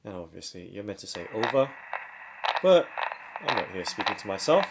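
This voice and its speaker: A man giving a casual hands-on product demonstration, talking step by step in short, halting phrases. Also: lowish voice, big pitch swings, creaky, heavy background noise. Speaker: product reviewer.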